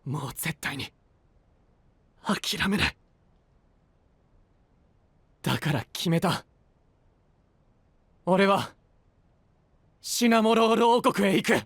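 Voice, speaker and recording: A man playing an earnest, self-reproaching young apprentice knight in an anime-style audio drama, with a breathy, husky voice and intense delivery. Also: sits high, sing-song, drawn-out words, long pauses, dry recording, heavily acted. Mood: resolute. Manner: intense, brooding, emotionally swinging delivery.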